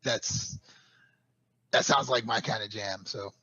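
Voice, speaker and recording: Husky, bright-toned guest on a dull line. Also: male voice, fairly low pitch, slow, no room sound. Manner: crude, laughing, animated storyteller. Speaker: podcast guest. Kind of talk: casual chat.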